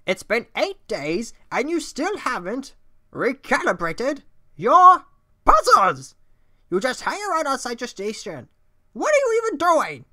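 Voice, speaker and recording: A woman voicing a pompous, excitable male skeleton from a video game, bombastic and exasperated. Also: a little high, full character voice. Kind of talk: video game character impression.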